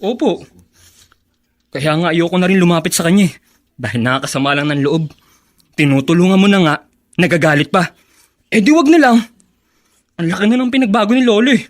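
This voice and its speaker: A man's voice: sits high, a little fast, pauses often, natural voice. A young man with a Filipino accent playing a hurt, sulky grandson in a radio drama, complaining respectfully.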